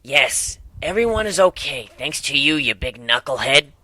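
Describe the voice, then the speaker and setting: A man's voice: medium pitch, very animated, normal speed, bright, forceful tone, no echo, full character voice. A man voicing a studious, earnest young female pony magician who explains and lectures, in a cartoon fan story. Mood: relieved.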